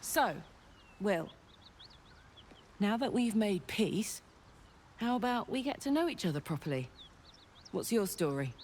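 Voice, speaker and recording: A woman's voice: mid-range voice, lively intonation, a little slow, long pauses, darkish tone, a little hiss or hum, lightly acted. A woman playing a brash, hearty tiefling warrior in a video game, with a blunt, sweary, exuberant manner. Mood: friendly, curious. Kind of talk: video game character dialogue.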